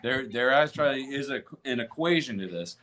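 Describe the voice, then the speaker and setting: A man from Tennessee with an American accent and a medium-pitched voice. He speaks as a podcast co-host, moving between casual discussion and conversational storytelling. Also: lively intonation, normal speed, fairly bright tone. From Tennessee, United States.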